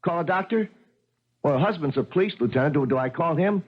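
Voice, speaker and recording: Muffled old radio broadcast, flustered doorman, husky, friendly, talkative, stammering, repetitive. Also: on the high side, lively intonation, quick pace. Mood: anxious, flustered. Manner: stammering, rambling, repeats himself. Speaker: actor in a radio drama. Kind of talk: 1970s radio drama acting.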